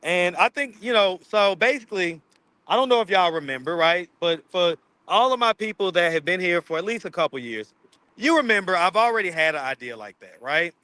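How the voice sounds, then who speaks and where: A man's voice: sits high, lively intonation, fairly quick, bright, forceful tone, no echo. A man with a slight lisp, hosting a casual livestream and chatting with viewers as he reads out their comments. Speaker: livestream host. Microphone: streaming mic.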